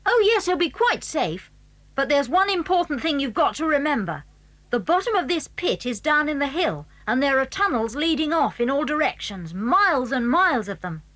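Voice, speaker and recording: A woman's voice: high-pitched, sing-song, moderate pace, a bit bright, no room sound. A woman playing an eager, confident English schoolboy in a children's audio drama. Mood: knowing, instructive.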